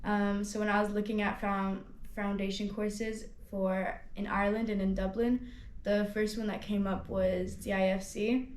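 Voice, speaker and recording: A woman's voice: medium pitch, level tone, normal speed, a little mellow. A nineteen-year-old woman from Hong Kong, a student ambassador, chatting casually about her studies with many "like"s and restarts. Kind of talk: casual testimonial interview. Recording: roomy echo.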